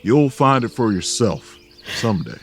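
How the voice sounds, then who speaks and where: Folksy, gentle man, low and unhurried. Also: sing-song, slight background noise. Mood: tender. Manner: soft, slow and folksy, calls people darling. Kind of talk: audio drama acting.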